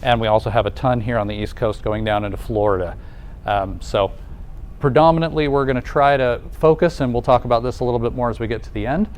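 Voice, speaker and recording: Male presenter, mid-pitched, lively conversational delivery with rhetorical questions, live audience in the room. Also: fast, a little hiss or hum. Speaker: presenter at a nursing college welcome event.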